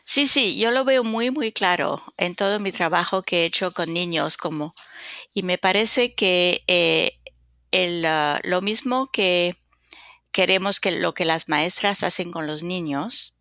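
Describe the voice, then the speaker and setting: Slow, gravelly older woman. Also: fairly low pitch, bright, forceful tone, no echo, clean studio sound. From North Africa, then Spain. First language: French. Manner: slow, thoughtful, with many hesitations. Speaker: guest author and consultant. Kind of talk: podcast interview.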